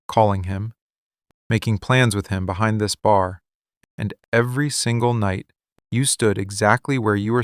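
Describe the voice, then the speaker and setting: A man acting a possessive, wounded mafia boss in an intimate boyfriend ASMR roleplay, speaking in controlled, deliberate, intense phrases. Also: on the low side, no echo, clean studio sound. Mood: controlled, interrogating. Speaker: ASMR voice actor.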